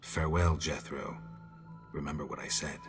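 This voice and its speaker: Very deep, terse monster hunter. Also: male voice, moderate pace, faint music behind. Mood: quiet menace. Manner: terse, flat, deadpan. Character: stoic, world-weary male monster hunter for hire. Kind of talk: video game dialogue acting.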